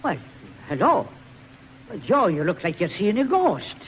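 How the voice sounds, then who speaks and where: Folksy flustered buddy on 40s radio, high voice all over the place, bit of hiss. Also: male voice, huge pitch swings, a little slow, exaggerated voice. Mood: jovial. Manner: exclamatory, folksy. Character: chummy, easily flustered old pal Finnegan, a man. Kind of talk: 1940s radio comedy acting. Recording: noisy 1940s radio broadcast.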